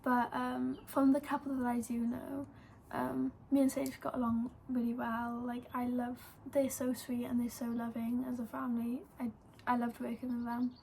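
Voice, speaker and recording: Chatty young Welsh woman, high voice. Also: monotone, moderate pace, creaky, warm tone, slight background noise, low-quality recording. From Wales. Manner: chatty, lots of "like" and "um". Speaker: vlogger. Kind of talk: casual storytelling to camera.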